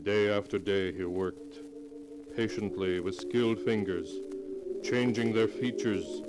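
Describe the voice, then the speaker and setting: A male actor as an ominous storyteller narrating a 1940s radio mystery in a measured, dramatic way. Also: on the low side. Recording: vintage radio recording, loud music behind.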